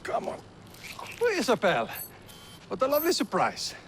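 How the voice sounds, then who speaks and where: A man's voice: high voice, huge pitch swings, normal speed, fairly bright tone, slight background noise, exaggerated voice. A man voicing a smarmy, falsely pleasant character in a video game, with oily politeness. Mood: smug.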